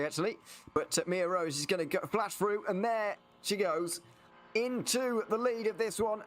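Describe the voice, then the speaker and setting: A man co-commentating a sim race live, hesitant with many fillers before he picks up the call. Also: sits high.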